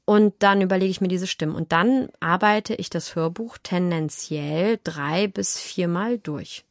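A woman, an actress and audiobook narrator, telling stories in a lively, animated way during an interview. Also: fairly low pitch, unhurried, vocal fry, dry recording, clean studio sound. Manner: lively, animated storyteller who slips into voices. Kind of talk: relaxed conversational interview.

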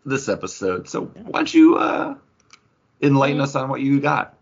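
A man's voice: mid-range voice, animated, moderate pace, vocal fry, husky, lo-fi sound. A man chatting casually and jokingly as a podcast host. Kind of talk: casual banter on a comics podcast.

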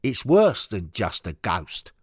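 Man doing a loud, blunt hooligan steward. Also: fairly low pitch, huge pitch swings, takes it slowly, breathy edge, no room sound, studio quality, pitched above the performer's own voice, exaggerated voice. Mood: ominous. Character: gruff, menacing unreformed hooligan steward.

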